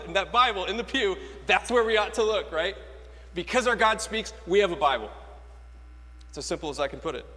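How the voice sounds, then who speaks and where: A man's voice: high-pitched, pushed and bright. An American man from Florida, an Anglican priest, preaching a sermon emphatically with rhetorical questions and repeated key phrases. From Florida, United States. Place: large church interior, speaking from a lectern. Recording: echoey church with long reverb.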